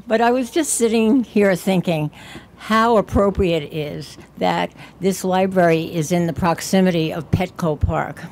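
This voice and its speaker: A woman's voice: on the low side, a little mellow, slight background noise. An older woman giving a short, chatty ceremony speech from a podium, often breaking into laughter.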